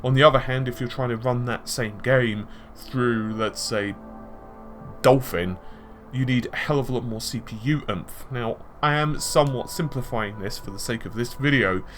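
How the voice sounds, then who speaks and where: A man's voice: medium pitch, moderate pace, a bit bright. A man giving conversational tech and gaming commentary, explaining his points with examples and asides. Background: background music.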